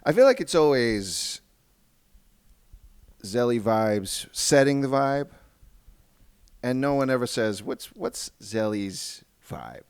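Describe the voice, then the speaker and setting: A man's voice: medium pitch, lively intonation, clean tone, dry recording. A man hosting a casual podcast interview, encouraging and repeating himself as he feels out his phrasing. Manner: encouraging, repetitive, halting phrasing.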